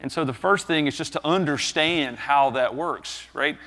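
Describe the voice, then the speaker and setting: A man's voice: on the high side, normal speed. A man in his thirties with a Southern US accent, giving a conversational business talk on a conference stage through a headset mic, dropping in frequent tag questions. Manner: conversational, frequent tag questions, animated gestures while speaking. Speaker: conference presenter. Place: large conference hall stage. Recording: long reverb of a large hall.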